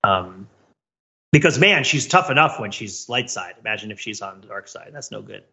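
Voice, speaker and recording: A man's voice: fairly low pitch, lively intonation, moderate pace, bright, forceful tone, muffled recording. A man co-hosting a podcast in casual analytical discussion, thinking aloud in long runs and often checking in with "right?". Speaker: podcast co-host.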